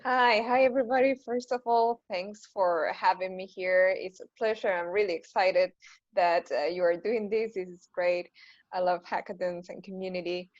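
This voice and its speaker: A woman's voice: medium pitch, steady tone, normal speed, dry recording, muffled recording. Argentine woman chatting on a call. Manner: chatty, friendly, fillers and light laughs. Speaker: panel guest. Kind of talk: casual panel talk.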